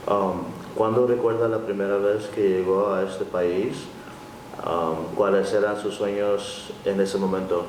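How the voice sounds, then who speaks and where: A man's voice: level tone, a little slow, a little mellow, far from the mic. A man asking interview questions in an informal, hesitant way. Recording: roomy, off-mic with rumble.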